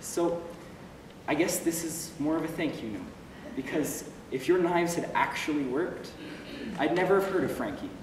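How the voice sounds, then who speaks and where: A man's voice: on the high side, animated, normal speed, natural voice. Man acting a lonely young guy, distant echoey hall. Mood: wistful, hopeful. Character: despairing, wry lonely young man telling his story. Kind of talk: confessional stage monologue. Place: stage play recorded in a hall. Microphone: distant camera or room microphone. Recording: echoey hall from far off, noisy.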